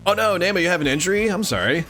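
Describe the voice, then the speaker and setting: A man's voice: sits high, lively intonation, racing, sharp tone, faint music behind. A man hosting a livestream, chatting casually and affectionately with his viewers. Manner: affectionate, cooing to a pet. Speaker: livestream host.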